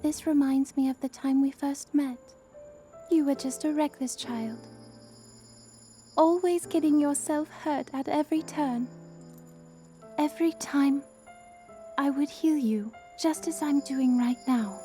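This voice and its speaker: High, soft, tender young princess. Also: female voice, unhurried, pauses often, soft background music. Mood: tender, nostalgic. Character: gentle, shy young aquatic princess, devoted healer. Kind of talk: video game cutscene acting.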